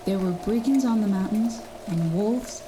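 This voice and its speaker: A woman's voice: medium pitch, measured pace, background noise, close to an everyday voice. A woman playing a cheeky eight-year-old boy telling tall tales in an audio drama. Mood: eager, unruffled. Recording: music bed behind the voice.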